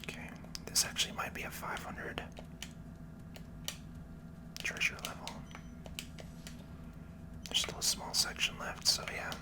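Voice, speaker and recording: ASMR gaming commentator. Breathy whisper throughout, casual and unhurried, musing softly through the play. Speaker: video game player and commentator.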